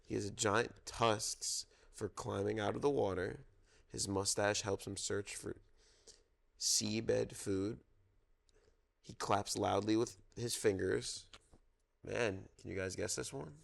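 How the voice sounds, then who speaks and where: A man reading a children's picture book aloud, pausing to prompt listeners for guesses. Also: on the low side, steady tone, gravelly. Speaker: storybook reader at a children's story time.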